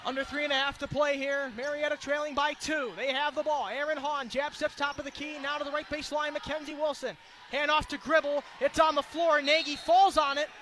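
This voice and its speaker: A man doing excited, projected radio play-by-play of basketball in short, clipped, rapid-fire phrases. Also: extremely high pitch. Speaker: play-by-play commentator. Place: indoor basketball arena. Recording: loud crowd and gym noise. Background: crowd noise and bouncing basketball.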